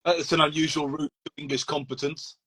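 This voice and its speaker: A man's voice: fairly high pitch, flat delivery, fairly quick, sharp tone, breathy edge, no echo. A man hosting a casual interview, asking curious questions and helping the guest find words. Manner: curious, prompting, supplies words. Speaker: interviewer host.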